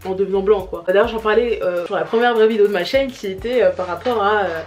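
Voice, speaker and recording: Give me a young woman talking casually into her camera, loose and full of fillers, mid pitch. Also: quick pace, darkish tone. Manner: chatty, rambling with many fillers and restarts. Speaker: vlogger reviewing series. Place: home, family noises around. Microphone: camera mic.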